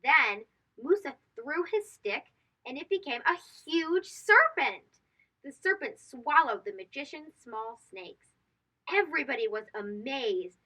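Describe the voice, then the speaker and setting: A woman's voice: high-pitched, expressive, a little slow, pushed and bright, poor audio. A woman reading a children's storybook aloud, expressively and speaking directly to young listeners. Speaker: host of children's read-aloud show.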